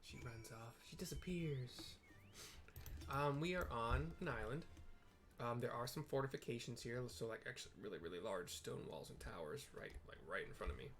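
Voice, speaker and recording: A man giving casual, halting commentary as he plays through a video game and describes what is on screen. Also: medium pitch, animated, fairly bright tone. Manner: halting, informal. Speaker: gaming video host.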